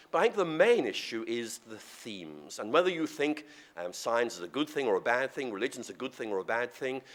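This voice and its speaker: A middle-aged man giving a public lecture, his voice husky and sore from a cold, speaking in a conversational, wry way. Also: medium pitch. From Northern Ireland.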